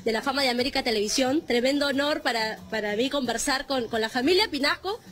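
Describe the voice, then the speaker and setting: A woman's voice: high-pitched, fast talker, fairly bright tone. A woman in her thirties with a Peruvian accent, reporting live outdoors on a handheld microphone. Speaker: field reporter. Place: outdoors, outside TV studio building.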